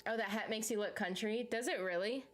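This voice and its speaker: A woman's voice: on the high side, a little fast, slight background noise. A woman with a Southern US accent who hosts a reaction channel, chatting casually and rambling as she answers her viewers' comments. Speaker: YouTube reaction host. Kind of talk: casual live chat with viewers.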